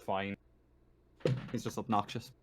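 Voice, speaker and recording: A man's voice: fairly low pitch, little pitch movement, measured pace, slight background noise. A man running a tabletop game casually as game master, hesitant and rambling, with an apologetic manner.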